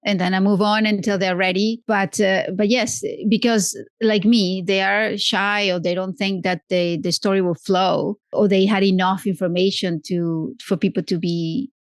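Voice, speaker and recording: A woman's voice: medium pitch, fairly even intonation, normal speed, few pauses, no echo. A woman guest on a podcast, chatting casually with many restarts and self-corrections. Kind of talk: casual interview chat.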